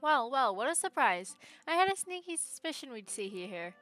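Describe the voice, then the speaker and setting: Woman live-streamer, casual gameplay commentary, forceful bright tone, smooth clear voice. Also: high-pitched, sing-song, moderate pace, no room sound, soft background music. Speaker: game streamer.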